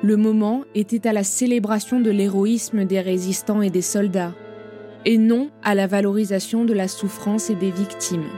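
Mellow-voiced woman narrator. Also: medium pitch, fairly even intonation, normal speed. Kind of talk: scripted documentary narration. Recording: soft music behind the voice.

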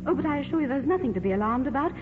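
An actress playing a calm, kindly airline stewardess in a 1950s radio drama, speaking in a gentle, polite, soothing manner. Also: female voice, high voice, racing. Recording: tinny noisy old radio recording, engine hum.

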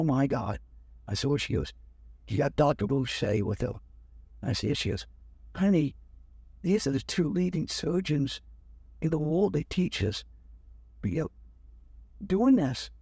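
Older male guest, husky, dry close mic, lively storyteller who acts out the other voices. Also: fairly high pitch, moderate pace, pauses often, no echo. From United States. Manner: animated, acts out other people's lines. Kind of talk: storytelling in an interview.

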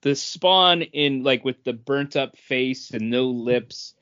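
A man's voice: medium pitch, slow, a bit bright. A man co-hosting a podcast, casually discussing comic books. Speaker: podcast co-host. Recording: dull, lo-fi podcast sound.

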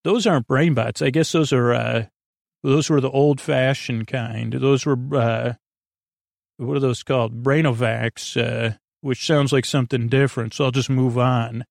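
Guy rambling a bedtime podcast, creaky voice, halting and wandering, really dry close sound. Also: medium pitch, fairly even intonation, no echo. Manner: hesitant, meandering, halting. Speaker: podcast host. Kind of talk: rambling improvised bedtime monologue.

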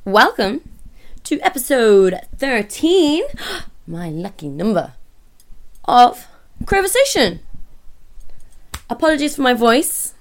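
A woman hosting a podcast in a casual, bubbly, rambling way, her voice croaky from a recent illness. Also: fairly high pitch, sing-song, slow pace, long pauses. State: hoarse from illness. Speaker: podcast host.

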